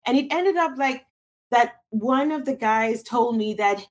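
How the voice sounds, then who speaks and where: A woman's voice: a little high, unhurried. A woman from Cameroon, a guest in an interview, answering thoughtfully with frequent restarts and fillers.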